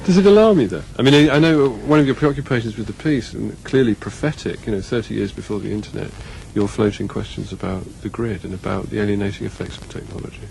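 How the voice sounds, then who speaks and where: A man's voice: fairly low pitch, fast talker, creaky, few pauses, a little mellow, faint music behind. A man interviewing on radio in a wordy, intellectual way, often rephrasing his questions as he goes. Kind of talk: probing arts interview. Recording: noisy radio reception.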